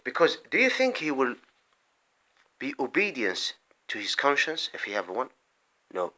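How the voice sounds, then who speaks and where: Animated man ranting in bursts. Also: medium pitch, a little slow. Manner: rambling, emphatic, often breaks off and restarts; swings between loud outbursts and muttered asides. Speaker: vlogger. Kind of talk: impassioned political monologue.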